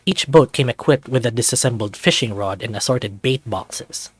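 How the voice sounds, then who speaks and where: A man reading a visual novel aloud as its narrator, in an expressive storytelling style. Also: medium pitch, normal speed, husky, no room sound.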